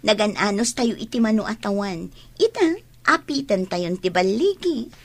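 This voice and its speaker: A woman's voice: mid-range voice, animated, moderate pace, no room sound. A woman with an Ilocano accent playing an eager, ambitious young student in a radio drama. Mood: hopeful. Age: young adult.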